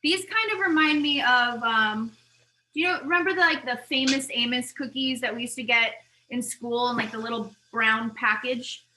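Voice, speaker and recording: A woman's voice: a little high, moderate pace, slight background noise, low-quality recording. A woman vlogger losing her voice, chatting in a rambling, talkative way while she reviews snacks. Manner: rambling, talkative, animated asides. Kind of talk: casual chatty product review.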